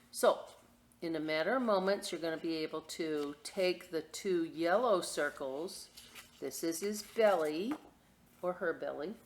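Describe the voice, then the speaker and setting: A woman hosting a children's story time and craft demonstration, chatting to young listeners in a playful, expressive, informal way with asides. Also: low-pitched, slight background noise. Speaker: library story time host reading picture books and showing a craft.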